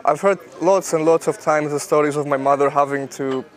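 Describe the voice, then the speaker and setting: A man, a medical student calmly sharing his family's story in a street interview. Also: on the high side, brisk. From Cyprus. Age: 20s. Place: outdoors in a town.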